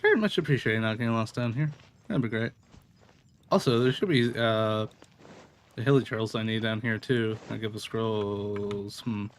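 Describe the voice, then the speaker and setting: A man's voice: fairly low pitch, moderate pace, a little hiss or hum. A man giving casual, playful commentary as he streams a video game, often exclaiming and talking to the characters.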